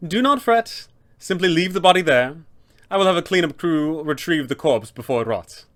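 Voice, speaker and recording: A man's voice: a little high, expressive, normal speed, fairly bright tone, pitched above the performer's own voice. A man voicing an officious, polite, fussy undead tomb custodian with formal announcer phrasing, as a tabletop villain. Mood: breezy, reassuring.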